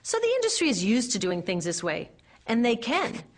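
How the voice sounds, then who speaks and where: A woman's voice: a little high, huge pitch swings, moderate pace. A woman with an American accent presenting a scripted explainer in a conversational, wry way, often posing rhetorical questions. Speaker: narrator and presenter.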